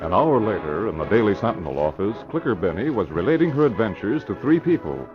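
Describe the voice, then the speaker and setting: A man's voice: on the low side, very animated, fast talker, over loud music. A man narrating a scripted 1940s radio drama in a vintage announcer style. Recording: muffled old radio recording.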